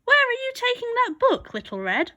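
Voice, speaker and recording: A woman voicing a sly, hungry male wolf from a storybook, gruff and scheming, with exclamatory delivery. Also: very high-pitched, wildly swooping pitch, normal speed, sharp tone, voice pushed up, exaggerated voice.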